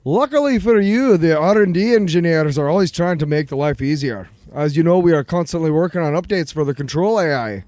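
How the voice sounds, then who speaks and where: An actor playing an eager, deferential research chief in an audio drama, explaining things precisely and proudly. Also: male voice, fairly high pitch, a little fast, few pauses, darkish tone, dry recording, lightly acted. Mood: explanatory, cautious.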